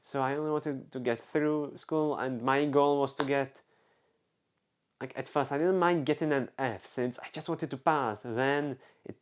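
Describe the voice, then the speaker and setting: A man's voice: medium pitch, unhurried. A young man from the Czech Republic with a Czech accent, telling a casual personal story on a vlog, rambling, restarting and filling with "like". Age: young adult. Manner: rambling, self-interrupting, many fillers. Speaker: vlogger.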